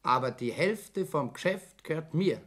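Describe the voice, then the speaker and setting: An actor playing a hesitant young man in a radio play, appeasing but quietly stubborn. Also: a little high, expressive, unhurried. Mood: defensive, stubborn. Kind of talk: 1960s German radio play acting.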